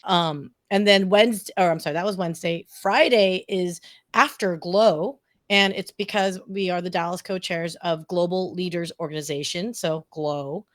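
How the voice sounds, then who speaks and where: A woman's voice: on the low side, steady tone, normal speed, no echo, studio quality. A woman podcast guest talking casually and effusively, informal and self-deprecating, wandering off on tangents. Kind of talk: casual, chatty interview answers.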